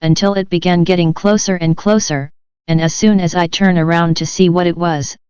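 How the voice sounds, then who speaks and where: An audiobook narrator reading a first-person memoir in a steady, even manner. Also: mid-range voice, moderate pace, smooth, dry recording, clean studio sound.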